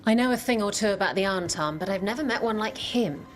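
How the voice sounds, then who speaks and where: A woman's voice: medium pitch, expressive, quick pace, close to an everyday voice. Woman playing a confident adventurer, faint music behind. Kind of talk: video game cutscene acting.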